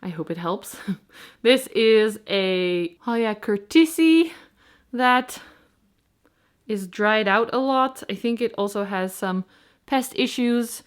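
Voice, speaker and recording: A woman's voice: a little high, animated, slow pace, smooth. Congested woman chatting about plants. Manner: chatty, self-deprecating, explains plant care. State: congested from a cold. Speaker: plant vlogger. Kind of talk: casual talk to camera. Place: home, indoors.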